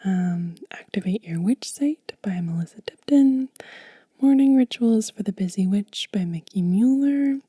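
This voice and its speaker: A woman whispers in a very dark, mellow, breathy voice. She takes her time, gently presenting things in an ASMR show-and-tell. The sound is close and dry. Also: mid-range voice, expressive, dry recording. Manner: gentle, unhurried. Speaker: ASMR channel host.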